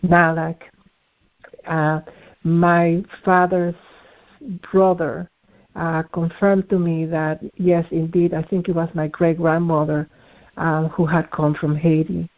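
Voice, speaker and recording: Measured man on a tinny phone line. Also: on the high side, little pitch movement. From Dominican Republic. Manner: measured, searching, with frequent restarts. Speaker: artist guest. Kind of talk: reflective interview talk.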